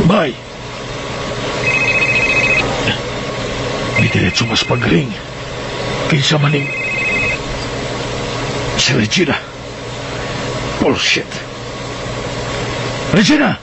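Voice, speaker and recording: An actor playing a cold, arrogant villain chief, scornful and blunt, in a Cebuano radio drama. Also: male voice, a little high. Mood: hurried, irritated. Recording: noisy, helicopter-like roar behind.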